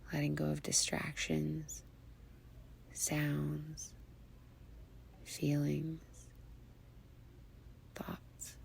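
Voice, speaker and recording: Female meditation guide: low, very flat pitch, breathy near-whisper, soothing and unhurried, faint background noise. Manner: soothing, hushed, unhurried phrasing with long pauses.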